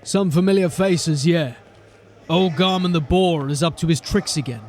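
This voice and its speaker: A man's voice: on the high side, normal speed, slight background noise, natural voice. A man playing a blunt, weary watch sergeant. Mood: steady, grim. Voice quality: plain-spoken, grim and steady. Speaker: voice actor. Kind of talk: audio drama acting.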